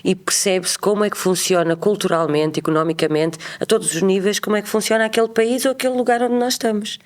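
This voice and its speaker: A woman in her thirties from Portugal with a European Portuguese accent, a guest telling travel stories in a chatty, lively way. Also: mid-range voice, fast. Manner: chatty, animated, acts out quoted voices. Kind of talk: casual storytelling interview.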